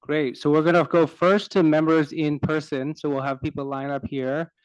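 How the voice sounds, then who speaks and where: A man with a smooth, clear voice runs the meeting, calling up speakers and keeping time. His tone is brisk and orderly, and the sound is dry and close. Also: fairly high pitch, flat delivery, no room sound, low-quality recording. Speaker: meeting chair. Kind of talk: chairing a public meeting. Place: meeting hall.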